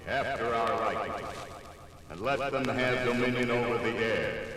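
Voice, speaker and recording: An actor playing God, solemnly reciting creation scripture in stately style in a 1950s radio drama. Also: male voice, medium pitch, steady tone, moderate pace, a bit bright, close to an everyday voice.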